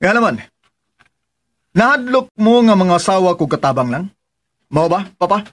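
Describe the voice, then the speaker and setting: An actor with a Filipino accent playing a stubborn, defensive grown son in a radio drama, insistent and challenging. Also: male voice, sits high, sing-song, normal speed. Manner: insistent, challenging, fires back questions.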